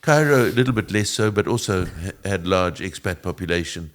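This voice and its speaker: A man's voice: low-pitched, expressive, a little fast. A man in his seventies, born in Egypt and raised in South Africa, Italian as first language, speaking as an interview guest who chuckles often and rambles with restarts. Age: 70s. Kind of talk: interview conversation.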